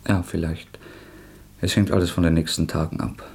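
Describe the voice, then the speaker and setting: An actor in a radio play as a proud, guarded young suspect who speaks abruptly and insistently. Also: male voice, low voice, level tone, normal speed, darkish tone. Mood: troubled. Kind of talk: German radio play acting.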